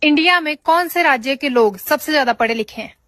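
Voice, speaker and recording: A woman's voice: high voice, lively intonation, fairly quick, fairly bright tone, no echo, clean studio sound. A woman hosting a casual riddle quiz, putting questions and bantering over the answers.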